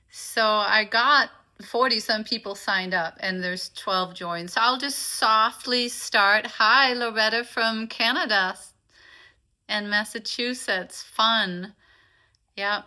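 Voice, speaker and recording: A woman's voice: mid-range voice, bright, forceful tone. A woman from Denmark, a native Danish speaker, hosting an informal live online session as a meditation teacher, welcoming attendees and explaining things to them directly. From Copenhagen, Denmark.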